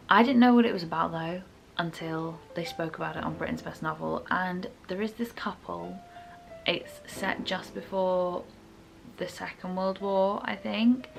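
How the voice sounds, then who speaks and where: Young woman, low creaky voice. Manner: chatty, informal, hedging her opinions. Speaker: book reviewer. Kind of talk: casual chat to viewers about books.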